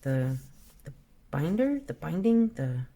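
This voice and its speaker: A woman's voice: low voice, slow pace. A woman in her forties chatting casually while she draws, thinking aloud and trailing off mid-sentence. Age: 40s. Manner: relaxed, self-talk about the colors. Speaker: art vlogger. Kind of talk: casual chat while drawing.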